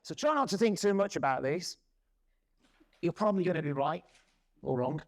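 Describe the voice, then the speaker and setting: A man in his forties giving a loud, lively presentation to a conference audience. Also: fairly high pitch, moderate pace. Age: 40s. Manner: loud, lively, self-deprecating. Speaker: conference speaker. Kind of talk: presentation talk. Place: conference room.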